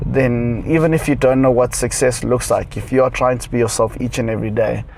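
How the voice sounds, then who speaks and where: A young man from South Africa, a vlogger, talking calmly and thoughtfully in a reflective monologue while sounding tired. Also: mid-range voice, a little fast, gravelly, a little hiss or hum, rough recording. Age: young adult. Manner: calm, musing, thoughtful.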